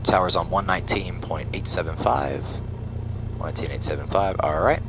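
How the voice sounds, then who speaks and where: A man's voice: low voice, brisk, noisy background. A man giving step-by-step flight simulator commentary and radio calls, talking himself through checklists in a procedural way. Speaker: flight simulator pilot and host. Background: simulated aircraft engine and cockpit sound.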